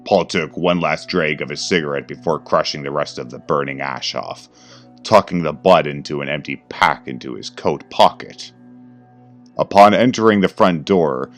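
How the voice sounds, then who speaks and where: A man with an extremely deep, booming voice reads a horror story in a grave, dramatic manner. Faint music plays underneath. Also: a bit bright. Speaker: narrator.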